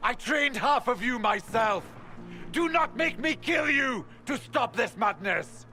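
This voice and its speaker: An actor playing an outraged, righteous warrior mentor in a video game, forceful and accusing. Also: male voice, extremely high pitch, expressive, slow pace, heavily acted. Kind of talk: dramatic video game acting.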